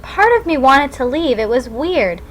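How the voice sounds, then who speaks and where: Woman, audiobook narration, first-person novel, higher-pitched bright voice, background noise. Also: lively intonation, moderate pace.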